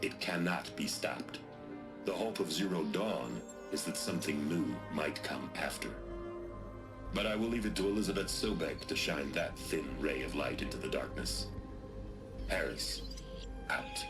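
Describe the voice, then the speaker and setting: A grim military commander with an extremely deep voice. He speaks solemnly and deliberately, with weighty resolve, as if delivering a dark briefing in a game. Loud music plays underneath. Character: grave military commander confessing global doom, grim and resolute. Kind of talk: dramatic recorded briefing in a video game cutscene.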